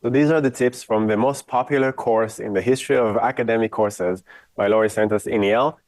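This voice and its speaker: A man's voice: fairly low pitch, fairly even intonation, brisk, heavy background noise. A man from Israel giving a university lecture off the cuff, speaking frankly and giving his own opinions.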